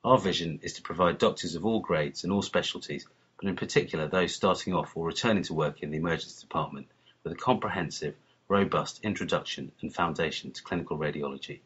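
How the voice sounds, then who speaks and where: A man with a very low, husky voice gives a scripted promotional narration as a course lead. His delivery is formal and measured, and the recording sounds muffled and lo-fi. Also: a bit bright. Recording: muffled lo-fi voice-over.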